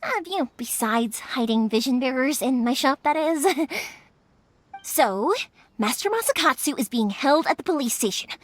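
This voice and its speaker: A woman's voice: high-pitched, lively intonation, normal speed, no room sound. A woman playing a cheerful, eager young fireworks shop owner in a video game, with a breezy, colloquial delivery. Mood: eager, plucky. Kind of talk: animated video game voice acting.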